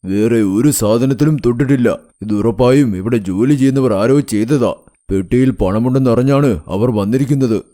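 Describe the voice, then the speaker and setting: Earnest shopkeeper son for a cartoon tale male actor, average pitch, soft dark timbre, protective manner. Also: normal speed, lightly acted. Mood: alarmed, earnest. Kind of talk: cartoon moral story acting.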